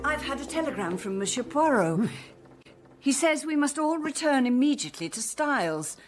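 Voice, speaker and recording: A poised English lady of the house in a radio play. Her well-bred voice sits slightly high. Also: sing-song, normal speed, music in the background. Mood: urgent, wry. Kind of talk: radio drama acting.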